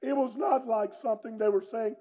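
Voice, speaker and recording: Booming preacher man. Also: brisk. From North Carolina, USA. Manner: booming, loud and strong delivery. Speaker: pastor. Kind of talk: sermon with personal testimony.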